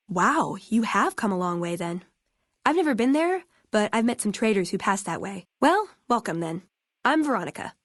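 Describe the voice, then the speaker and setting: A woman's voice: mid-range voice, no room sound, studio quality. An actress playing a witty, eager young woman scribe and scavenger in a video game, with a wry, chatty manner. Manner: wry, chatty, quick to joke. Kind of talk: video game character dialogue.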